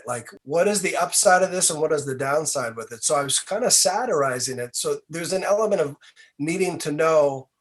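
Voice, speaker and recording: Male podcast guest, slightly high voice, reflective and sincere, conversational delivery. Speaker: interview guest, filmmaker.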